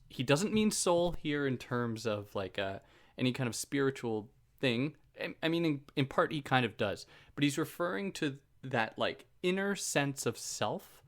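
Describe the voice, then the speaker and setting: Male podcast host, conversational lecture delivery, easygoing and self-deprecating, prone to tangents. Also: medium pitch. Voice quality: soothing voice. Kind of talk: casual explanatory lecture.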